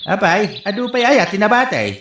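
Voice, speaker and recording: A man's voice: sits high, lively intonation, moderate pace, background noise. A man playing a chatty, teasing village neighbour and farmer in an Ilocano radio comedy drama, with an Ilocano accent. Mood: amused. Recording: roomy, echoey voice recording.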